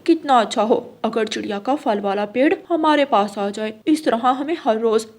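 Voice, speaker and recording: Woman doing a greedy lady crow from a cartoon, whiny n wheedling, high voice. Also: normal speed, close to an everyday voice. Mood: covetous. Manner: complaining, wheedling. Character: greedy, whining, scheming female crow.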